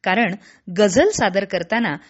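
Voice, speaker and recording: A woman reading a scripted regional radio news bulletin in a formal, measured way. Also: mid-range voice, animated, muffled recording.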